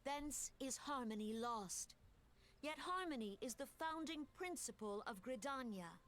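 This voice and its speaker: A woman voicing a stately female leader of a forest nation, delivering formal, measured oratory to a memorial gathering in a video game. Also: sits high, lively intonation, fairly bright tone, slight background noise. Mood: solemn.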